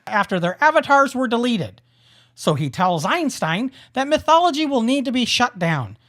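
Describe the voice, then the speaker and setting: A woman narrating a scripted audio drama in a deliberate storytelling manner. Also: a little high, big pitch swings, fairly bright tone. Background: music.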